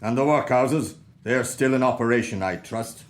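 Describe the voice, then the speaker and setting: An actor with an Irish accent playing a grumpy, miserly old moneylender in a radio play, gruff and dismissive. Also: male voice, mid-range voice, moderate pace, close to an everyday voice. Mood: sardonic.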